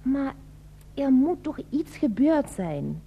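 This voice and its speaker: Cooing, theatrical high-voiced woman. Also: sing-song, slow, dull audio, heavily acted. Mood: dramatic concern. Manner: theatrical, cooing, dramatically sympathetic. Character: gushing, concerned woman fond of her sweetheart. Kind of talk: Dutch radio comedy drama acting.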